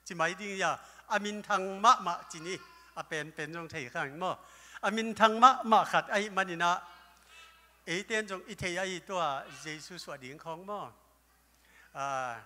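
An older man preaching a sermon at a lectern with a handheld microphone, breaking into loud exclamations now and then. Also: high-pitched. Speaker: pastor. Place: church hall stage. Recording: live church hall with long reverb.

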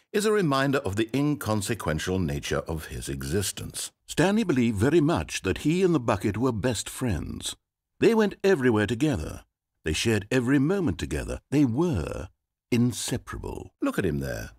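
An actor playing an omniscient game narrator, wry and theatrical. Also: male voice, full character voice. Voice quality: crisp measured delivery, very animated pitch. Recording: dry close studio voice.

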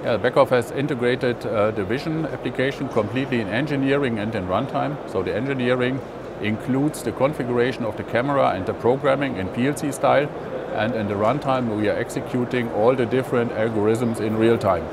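Older product manager, mid-pitched, measured English; clear hall noise under him. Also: male voice. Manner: measured explanatory English with fillers. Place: large indoor trade fair hall. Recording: noisy trade fair hall.

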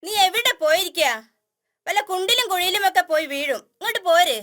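A woman's voice: very high-pitched, animated, fairly quick, fairly bright tone. A worried mother elephant. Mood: anxious. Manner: calling out anxiously.

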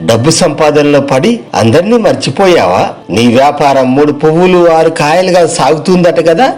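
A man's voice: a little high, moderate pace, a little mellow, close to an everyday voice. Rich, insincere businessman friend for a moral tale, played by a man: chummy, boastful, full of showy sympathy. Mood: effusive, proud. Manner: effusive, familiar, with theatrical lamenting. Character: boastful, two-faced wealthy businessman friend, feigning sympathy. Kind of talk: moral story voice acting.

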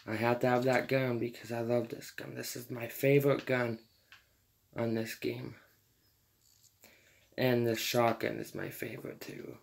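A man's voice: medium pitch, little pitch movement, measured pace, gravelly, long pauses. Teenage boy chatting over gameplay. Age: teens. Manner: chatty, laughs often, apologetic. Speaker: gamer streamer. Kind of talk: casual gameplay commentary.

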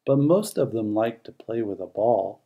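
A man reading a rhyming children's picture book aloud in an expressive, measured storytelling manner. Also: on the low side, slightly rough, narrow, tinny audio.

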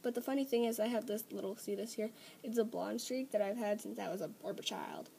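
A teenage girl chatting casually into a laptop webcam at night, soft-spoken, giggly and a little hesitant. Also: mid-range voice, brisk, creaky, slight background noise. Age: teens. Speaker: vlogger sharing facts. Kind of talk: casual late-night vlog. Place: small blanket fort indoors at night. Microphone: laptop webcam close up.

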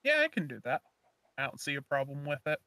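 Woman as easygoing dragon slayer mentor, game roleplay, offhand, casual musing, very lively intonation, dry recording. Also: low voice, a little fast, no echo, full character voice. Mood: casual, agreeable. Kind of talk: amateur roleplay acting in a game video.

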